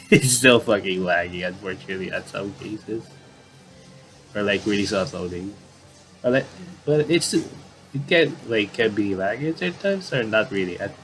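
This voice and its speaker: A man's voice: on the low side, noisy background. A man doing casual let's play commentary, reading game text aloud and rambling a little as he repeats and rephrases himself. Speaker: gamer reading a visual novel aloud with commentary.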